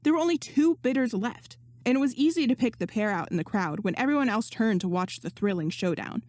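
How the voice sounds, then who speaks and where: A woman reading fan fiction aloud as narrator, in an expressive audiobook style. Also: a little high, a little fast. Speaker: podfic narrator.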